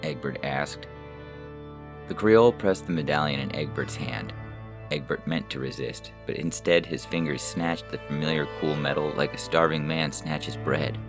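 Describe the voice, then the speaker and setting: A man's voice: very deep voice, normal speed. A man reading scripted literary fiction as a story narrator. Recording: music under the narration.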